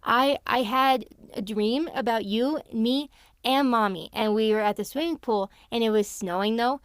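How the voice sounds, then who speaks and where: A woman's voice: a little high, moderate pace, clean tone, dry recording, studio quality, lightly acted. A woman playing a sweet, innocent, sleepy young daughter in a horror audio drama, speaking in a simple childlike way.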